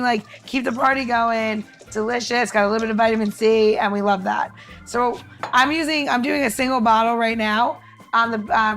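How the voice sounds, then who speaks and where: A woman with a fairly high voice co-hosts a casual podcast. She talks in an informal, upbeat way, as if walking friends through something easy, sprinkling in conversational filler. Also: a bit bright. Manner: informal, upbeat, peppered with like and you know. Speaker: podcast co-host presenting cocktail recipes. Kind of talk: casual chatty recipe walkthrough.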